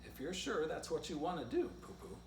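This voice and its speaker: A man's voice: a little high, wildly swooping pitch, fairly quick, gravelly, voice pushed up, exaggerated voice. Man reading as crafty storybook dog leader, roomy sound. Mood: agreeable. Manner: declamatory, slyly insistent. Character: crafty male cartoon dog leader, loudly persuasive. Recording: roomy, distant mic, faint background noise.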